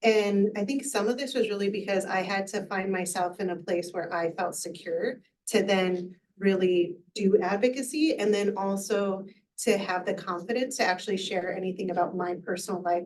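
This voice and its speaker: A woman's voice: medium pitch, darkish tone, recorded from a distance, a little hiss or hum, low-quality recording. A woman in her thirties, a researcher on a panel, speaking candidly with casual fillers. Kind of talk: panel discussion.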